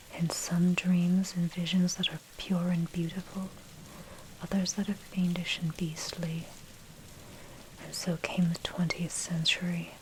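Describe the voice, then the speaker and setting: Female ASMR narrator, breathy whisper, flat low pitch, measured scripted reading, rain and thunder noise behind. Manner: measured, deliberate scripted delivery. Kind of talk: whispered ASMR reading of a dark history essay. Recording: noisy track with rain and thunder. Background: rain and thunder ambience.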